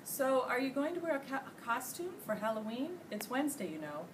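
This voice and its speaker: A woman's voice: high voice, brisk, voice pushed up. Woman as a friendly teasing janitor, distant mic. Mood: playful. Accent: American. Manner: animated, teasing. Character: friendly joking male night janitor. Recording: distant mic, loud room noise.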